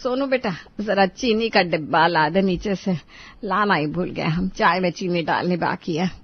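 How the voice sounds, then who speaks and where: A woman voicing a bossy grandmother who coaxes and scolds in an animated moral story. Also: lowish voice, moderate pace, husky, highs cut off, voice pushed down. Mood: complaining, coaxing. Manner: coaxing and scolding by turns.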